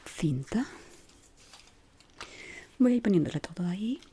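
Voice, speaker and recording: A woman from whispers softly and without hurry in an ASMR roleplay, gently giving instructions as a decorator guiding a newcomer. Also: mid-range voice, lively intonation, slight background noise. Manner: soft, unhurried, gently instructing.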